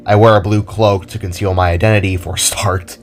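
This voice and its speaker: A man's voice: fairly low pitch, steady tone, brisk, faint music behind, lightly acted. A man playing a hornless young spark creature, a self-conscious and earnest vigilante, in an audio drama. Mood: candid, musing.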